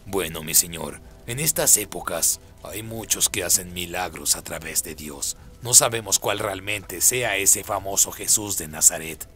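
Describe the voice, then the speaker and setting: Casting: man as obedient demon minion, radio play. Very low pitch, measured, submissive delivery. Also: sharp tone, faint music behind. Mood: deferential. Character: deferential demon servant reporting to his dark master.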